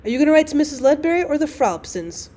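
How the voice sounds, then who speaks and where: A weary, tart wife from a classic storybook, read by a woman. Her fairly high voice carries wry, formal phrasing and practical impatience. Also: very animated, rapid-fire, dark tone.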